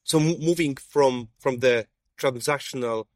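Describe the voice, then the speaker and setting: A man hosting a casual interview on a rooftop, talking in halting bursts with many fillers and restarts. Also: mid-range voice, no room sound, studio quality. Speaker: podcast host. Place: sunny rooftop at a conference.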